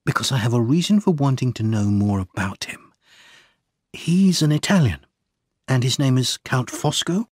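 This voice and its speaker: Man reading as an urgent, earnest gentleman investigator. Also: medium pitch, very animated, normal speed, slightly rough, no room sound, clean studio sound. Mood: curious, pressing. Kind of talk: audiobook character dialogue.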